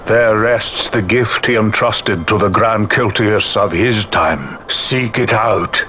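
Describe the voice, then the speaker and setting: An actor playing an ancient, serene non-human seer in a fantasy game, speaking solemnly with archaic, oracular phrasing. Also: male voice, lowish voice, slow, pushed and bright, a little hiss or hum. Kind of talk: dramatic video game voice acting.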